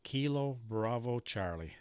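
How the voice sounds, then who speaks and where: A man's voice: medium pitch, expressive, measured pace, a little hiss or hum. Easygoing man chatting over ham radio. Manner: easygoing, thinks aloud while adjusting settings. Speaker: amateur radio operator hosting a stream. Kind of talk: casual radio chat. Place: home radio station.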